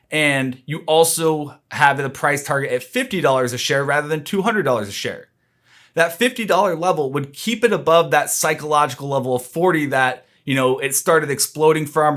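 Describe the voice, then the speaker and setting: A man's voice: on the high side, a bit bright. A man giving informal market commentary, explaining his opinions in a conversational, analytical way.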